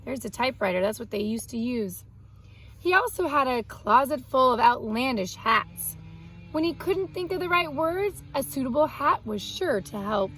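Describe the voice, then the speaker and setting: High-pitched woman reading aloud to children. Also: normal speed, a little hiss or hum, rough recording. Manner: expressive, explains words simply for young children. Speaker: children's read-aloud host and art teacher. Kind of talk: reading a picture book aloud to children, with asides.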